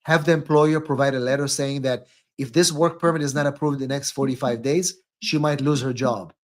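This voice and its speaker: A man's voice: mid-range voice, fairly even intonation, brisk. Man casually hosting a live advice stream. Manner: repeats words, tags sentences with "right?". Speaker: immigration attorney hosting a live call-in stream.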